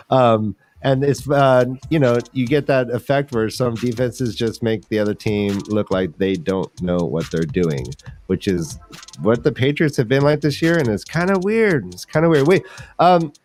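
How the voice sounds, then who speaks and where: A man's voice: medium pitch, unhurried, slight background noise. A man hosting a casual fantasy football livestream, chatting in a jokey, colloquial way and often addressing listeners directly. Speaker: host.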